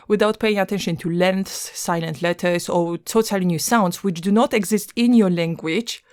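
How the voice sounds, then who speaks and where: A woman from Poland with a Polish accent, hosting an educational podcast and explaining in a clear, teacherly way with occasional fillers. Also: medium pitch, darkish tone. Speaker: podcast host, language teacher.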